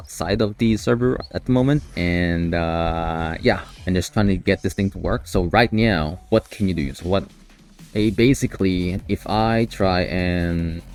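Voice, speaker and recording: A man talking casually on a live stream as a developer, in a halting way, often repeating and restarting his phrases. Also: low-pitched, a little mellow. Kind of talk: casual live stream talk about a coding project.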